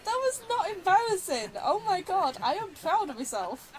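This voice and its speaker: A woman's voice: extremely high pitch, very animated, normal speed, low-quality recording. A woman giving casual gameplay commentary, chatty and reactive with wry asides. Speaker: gaming video host reacting while playing.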